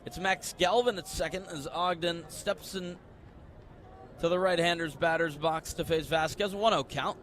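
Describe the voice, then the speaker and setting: A man's voice: a little high, steady tone, normal speed, a bit bright. A man calling live sports play-by-play in a live commentary style. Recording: noisy live stadium broadcast. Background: stadium ambience.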